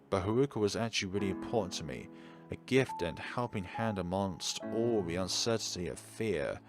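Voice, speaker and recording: A man's voice: fairly low pitch, close to an everyday voice. A man reading an anguished, self-blaming teenage schoolboy who pleads and narrates his own story in a visual novel.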